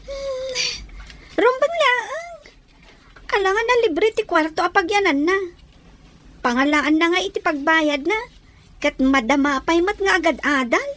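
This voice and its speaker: A woman's voice: very high-pitched, lively intonation, unhurried, pauses often, fairly bright tone, dry recording, heavily acted. A woman playing an outspoken, gossipy young woman in an audio drama, chatty and questioning. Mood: curious, chatty.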